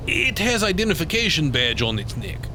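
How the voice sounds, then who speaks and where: A man's voice: a little high, very animated, brisk, noisy background. A man playing a dry, wry space station crewman who speaks clipped, broken English in a sci-fi audio drama. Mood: wry, puzzled. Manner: clipped grammar, dropped articles, dry.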